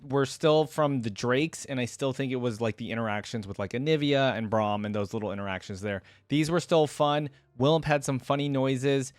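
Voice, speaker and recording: A man's voice: lowish voice, no room sound. A man giving casual, off-the-cuff commentary as a reaction video host, thinking aloud as he goes.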